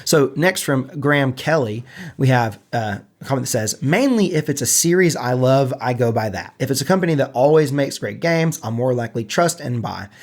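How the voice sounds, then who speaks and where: A man co-hosting a casual gaming podcast, a little stuffed up from allergies, chatting in a relaxed, self-deprecating way. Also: medium pitch, moderate pace. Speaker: podcast co-host.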